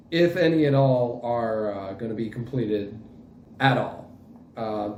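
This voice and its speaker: A man's voice: mid-range voice, warm tone, echoey room, slight background noise. A man giving a casual, unscripted update as a channel host, talking in a hesitant, rambling, self-deprecating way.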